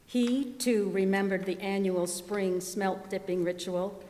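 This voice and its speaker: A woman reads a first-person story aloud in a readers theater style. Her voice sits at a middle pitch, and it rings through a large hall with long reverb. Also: unhurried, dark tone. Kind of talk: scripted first-person narration read aloud to a live gathering.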